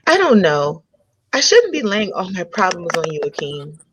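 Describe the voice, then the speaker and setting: A woman's voice: on the low side, big pitch swings, normal speed, a little hiss or hum. A woman playing a frank, independent young woman who speaks candidly and conversationally in a comedy table read. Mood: frustrated, confiding. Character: frank, independent young American woman, restaurant owner's daughter. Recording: dull lo-fi microphone sound.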